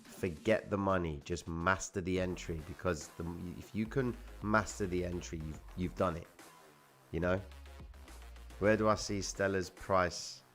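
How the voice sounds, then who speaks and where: Young male livestream host, very low voice, slangy and relaxed, faint music behind. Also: measured pace. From Cyprus. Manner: laid-back, slangy, answering chat. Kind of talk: casual livestream chat reading viewer comments.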